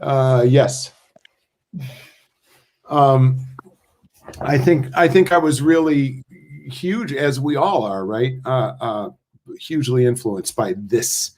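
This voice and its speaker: An older man in a casual, reflective discussion as a playwright on a video call, halting as he thinks aloud. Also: medium pitch, a little mellow. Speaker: playwright in a post-show discussion.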